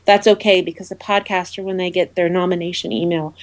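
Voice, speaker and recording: A woman's voice: fairly low pitch, level tone, brisk, no echo. A woman speaking casually as an interview guest on a podcast. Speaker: podcast guest, awards representative.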